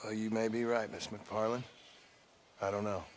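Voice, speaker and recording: A man's voice: fairly low pitch, flat delivery, moderate pace, a little hiss or hum, close to an everyday voice. Man acting a dry, weary gunslinger. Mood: subdued, dry. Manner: terse, formally polite, dry. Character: earnest, weary, dry male former outlaw gunslinger. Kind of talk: dramatic video game cutscene acting.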